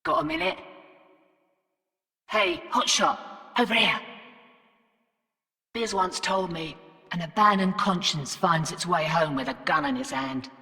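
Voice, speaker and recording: A woman's voice: lowish voice, animated, a little slow, pauses often, pushed and bright, breathy edge, big-room sound, heavily acted. An actress voicing a cocky female rogue fortune hunter and arms dealer in a video game, cheeky, slangy and sardonic. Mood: resolute. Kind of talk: video game character voice lines.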